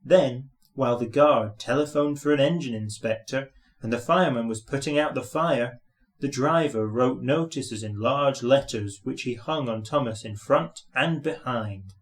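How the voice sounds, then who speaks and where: A man's voice: mid-range voice, normal speed. A man narrating a scripted children's story as its reader.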